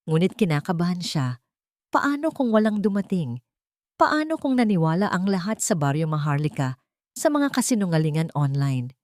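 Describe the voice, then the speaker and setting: A woman's voice: on the low side, darkish tone, no room sound, studio quality. A woman narrating a scripted dramatic story.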